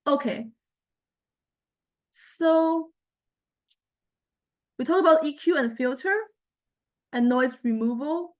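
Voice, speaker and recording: A woman lecturer giving an informal software demonstration, hesitantly thinking aloud as she goes. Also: high voice, slow, pauses often. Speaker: music technology lecturer.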